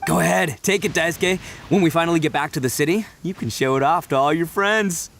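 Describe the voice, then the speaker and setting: An actor playing a brash, big-hearted young gang leader in a video game, boisterous and colloquial with boyish bravado. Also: male voice, on the high side, brisk, creaky, a bit bright, a little hiss or hum. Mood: reassuring, breezy. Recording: faint game music behind.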